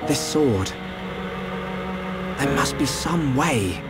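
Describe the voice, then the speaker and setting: A man's voice: medium pitch, lively intonation, drawn-out words. An earnest young hero of a fantasy video game, British and polite in his delivery. Music plays behind his voice. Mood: determined. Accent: British English. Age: young adult. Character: earnest, grateful young male hero with a magic sword. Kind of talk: video game cutscene acting.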